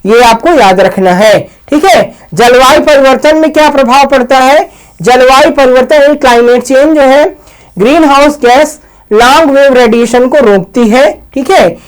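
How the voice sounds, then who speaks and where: A man's voice: extremely high pitch, smooth, rough recording. A man lecturing to students, explaining point by point, asking rhetorical questions and answering them himself, often repeating each point. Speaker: teacher giving an agriculture science lecture.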